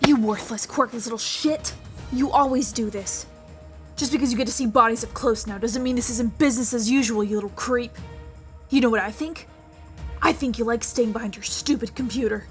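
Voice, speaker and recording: A woman's voice: a little high, fairly quick, faint music behind, lightly acted. A woman playing a contemptuous, aggressive female villain in a fan comic dub, taunting and biting. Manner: scornful, biting.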